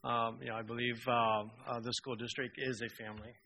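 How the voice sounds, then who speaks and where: A man's voice: medium pitch, flat delivery, brisk, vocal fry, sharp tone, a little hiss or hum, poor audio. A newly appointed man giving a short, hesitant thank-you speech with many fillers. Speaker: new staff appointee.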